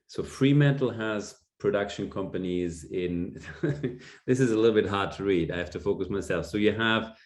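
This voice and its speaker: Deep voiced german guy presenting slides, lots of ums, roomy echo. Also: moderate pace. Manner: hesitant, many ums and restarts. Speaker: lecturer. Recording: echoey room.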